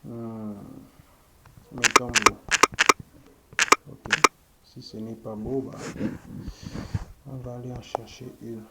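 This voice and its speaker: A French-speaking man teaching web coding, thinking aloud as he types, often checking in with a quick "ok" to his viewers. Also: mid-range voice, level tone. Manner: slow, thinking aloud while typing, frequent "ok", addresses viewers. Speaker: web development instructor. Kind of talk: screen-recorded coding tutorial. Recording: loud background noise.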